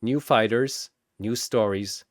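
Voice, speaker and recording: A man's voice: fairly low pitch, takes it slowly, dry recording, studio quality. A man narrating a scripted story in a dramatic style, as its storyteller.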